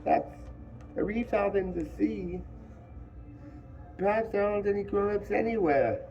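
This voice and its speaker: A man's voice: unhurried, darkish tone, muffled recording, soft background music. A man doing a breezy fair-haired schoolboy. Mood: offhand. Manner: high boyish voice, casual and confident.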